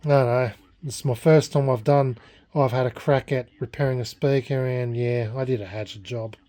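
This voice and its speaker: A man's voice: mid-range voice, steady tone, normal speed, dark tone. An Australian man chatting casually in a vlog, rambling and self-deprecating as he talks in a blunt way. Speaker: vlogger restoring a vintage radio.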